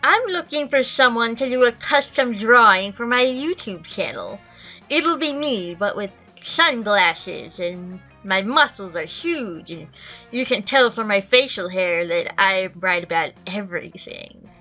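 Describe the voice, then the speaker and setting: A woman's voice: mid-range voice, expressive, unhurried, sharp tone, lo-fi sound, faint music behind. A woman voicing a smug, entitled young male wannabe YouTuber with pompous, whiny boasting in an amateur game dub. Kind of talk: amateur visual novel dub.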